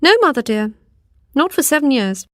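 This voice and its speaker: A woman as a polite, high-voiced talking cow. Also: big pitch swings, normal speed, no room sound, studio quality. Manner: polite, reassuring. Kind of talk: storybook character voice.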